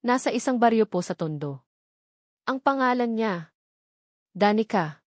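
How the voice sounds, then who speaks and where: A woman's voice: a little high, animated, unhurried, dry recording, clean studio sound. A woman voicing a polite, formal female social worker in a dramatic story. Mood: informing.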